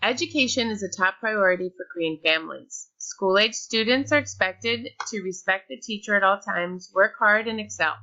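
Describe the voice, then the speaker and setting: Woman presenter, bright tone, lo-fi mic, reads her script with small restarts. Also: medium pitch, moderate pace, few pauses. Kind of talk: read-aloud slide presentation.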